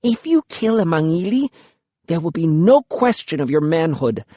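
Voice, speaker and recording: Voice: medium pitch, very animated, normal speed, dark tone, dry recording, pitched above the performer's own voice. A narrator voicing a bold, teasing young girl. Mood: insistent. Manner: playful, insistent.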